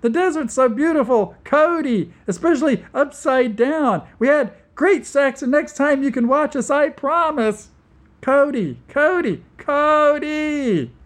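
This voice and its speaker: A man reading aloud and voicing a shrill, panicking young woman who shrieks and squeaks in terror. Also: expressive, measured pace. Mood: frantic.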